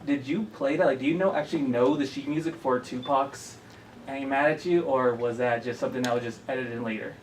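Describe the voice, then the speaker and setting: A man interviewing actors at a convention, asking long, eager questions at a deliberate pace. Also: medium pitch. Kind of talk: press junket questions. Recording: distant mic with faint noise.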